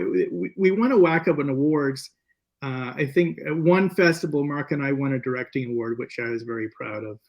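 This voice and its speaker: A man speaking as a guest filmmaker, telling stories in a casual, animated interview style. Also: mid-range voice.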